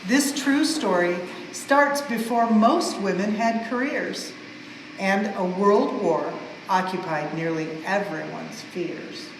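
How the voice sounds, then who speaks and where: A woman's voice: medium pitch, slow pace. Woman reading aloud in an echoing hall. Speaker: museum staff member reading a story aloud. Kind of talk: expressive children's storybook reading. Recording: very echoey large hall, some noise.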